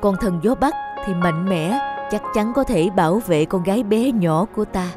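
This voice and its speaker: A woman's voice: mid-range voice, normal speed, music in the background, lightly acted. A woman voicing a caring fairy-tale queen and mother in a cartoon, persuasive and motherly in manner.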